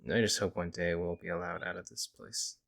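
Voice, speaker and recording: A man playing a friendly young werewolf, a lone wolf who talks casually and teases gently in an ASMR audio roleplay. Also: low voice, steady tone, a little fast, gravelly. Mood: casual, musing. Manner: casual, hesitant musing, gently teasing. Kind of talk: ASMR audio roleplay, intimate conversational acting.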